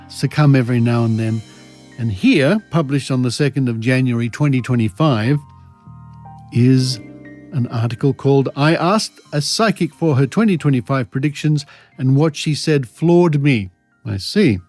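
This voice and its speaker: Australian male podcast host, mid-pitched, dry sceptical wit, faint music bed. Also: a little mellow. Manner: dry, skeptical, wry asides. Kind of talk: conversational commentary with read-aloud articles.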